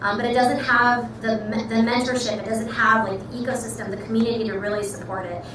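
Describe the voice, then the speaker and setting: A young woman with an American accent, a startup founder giving an earnest pitch talk to a live audience, full of ums and likes. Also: little pitch movement. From Pakistan. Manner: earnest, fast-flowing, many ums and likes. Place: event room with audience. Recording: echoey room, far mic, noisy.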